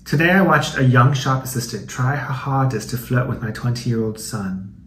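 A man's voice: mid-range voice, moderate pace, a bit bright. A young American man hosting a comedic video, reading aloud and commenting in a chatty, slangy, playfully dramatic way. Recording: echoey room with some background noise.

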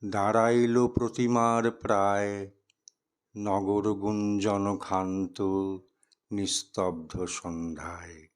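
A man reciting a poem in a solemn, measured style, with deliberate pauses between short phrases. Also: lowish voice, level tone. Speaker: poetry reciter. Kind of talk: solemn Bengali poetry recitation.

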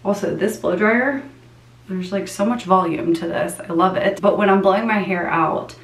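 A woman's voice: mid-range voice, moderate pace, echoey room. A young woman in her twenties chatting casually to the camera in a vlog, full of "like" fillers, filmed at home and in her car. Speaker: vlogger. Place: small apartment bathroom and car.

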